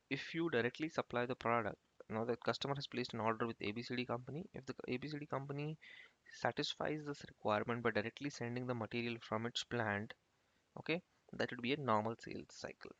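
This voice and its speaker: A man giving an informal software tutorial as an instructor, explaining step by step in a halting, repetitive way. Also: mid-range voice, no echo. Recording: muffled lo-fi screen-recording voice-over.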